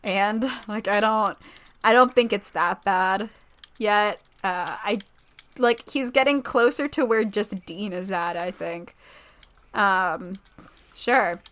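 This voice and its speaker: A woman with a bright, forward voice and a medium pitch talks as a podcast co-host. Her delivery is informal and rambling, and she often stops to correct herself mid-thought. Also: slow pace. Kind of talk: casual chat about a TV show.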